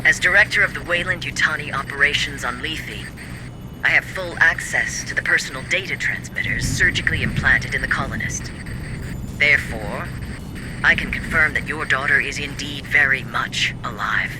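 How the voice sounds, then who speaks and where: A man playing a calculating, self-serving corporate director in a video game, with formal, measured, insistent speech. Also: a little high, noisy background, close to an everyday voice. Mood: cool pressure. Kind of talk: video game cutscene acting.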